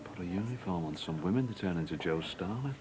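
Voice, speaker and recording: An actor playing a blunt, sarcastic middle-aged husband with a temper. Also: male voice, low-pitched, expressive, fast, crowd noise. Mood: wry.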